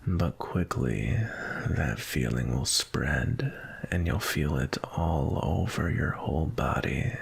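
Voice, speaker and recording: Seductive male vampire, played by a man, ASMR roleplay: deep, very husky half-whisper, tender and unhurried. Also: exaggerated voice. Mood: soothing, seductive. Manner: slow, soothing, sensual, drawn-out. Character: seductive male vampire, gentle, attentive lover feeding.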